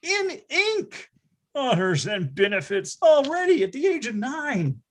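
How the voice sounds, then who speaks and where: A performer playing an excitable, grandiose narrator recalling a nine-year-old's boyhood in a theatrical readers theatre style. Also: sits high, unhurried, full character voice. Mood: thrilled.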